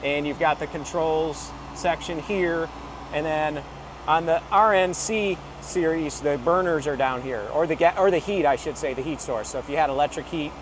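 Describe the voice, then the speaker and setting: A man with a fairly high voice explains equipment controls in a loose, conversational way, often stopping to rephrase. He is recording on a phone outdoors beside a working unit. The steady hum of a compressor and fans is clearly heard under his voice. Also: normal speed. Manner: casual, explanatory, with frequent "you know" and self-corrections. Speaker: HVAC equipment rep giving a tutorial. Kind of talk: unscripted technical walkthrough. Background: running compressor and fan hum.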